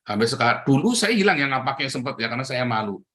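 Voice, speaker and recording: A man's voice: medium pitch, very animated, fast, pushed and bright. A middle-aged man from Tegal preaching in a chatty sermon style, with a Tegal Javanese accent, often addressing listeners as brothers and sisters. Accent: Tegal Javanese (ngapak). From Tegal, Central Java, Indonesia.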